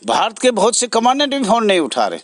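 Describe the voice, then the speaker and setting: A man giving a direct address to supporters as an association president, earnest, halting and repetitive, pausing between phrases. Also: sits high, huge pitch swings, no echo.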